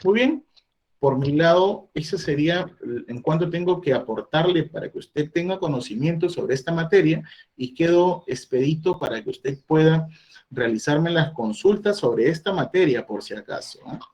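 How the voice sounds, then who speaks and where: A man's voice: on the high side, lively intonation, moderate pace, darkish tone. A middle-aged man giving a training talk on labor inspections, explaining step by step and voicing the questions an employer might ask. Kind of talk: online training presentation.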